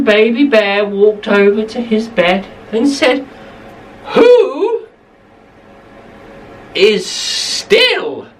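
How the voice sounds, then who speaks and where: A woman's voice: fairly high pitch, recorded from a distance, slight background noise, rough recording. A woman telling a bedtime story to young children, expressively, repeating phrases for emphasis, slightly away from the mic.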